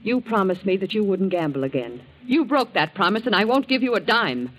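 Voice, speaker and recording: An actress playing a bitter, ailing rich wife who scolds sharply in a 1940s radio drama. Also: female voice, medium pitch, very animated, brisk, rough recording. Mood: scornful anger. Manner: sharp, scornful, accusing. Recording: old 1940s radio broadcast.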